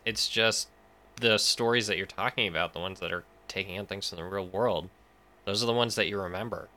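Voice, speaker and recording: A man's voice: sits low, a little fast, sharp tone. A man explaining things as an interview guest in a casual chat, thinking aloud as he goes. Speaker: podcast writer, interview guest.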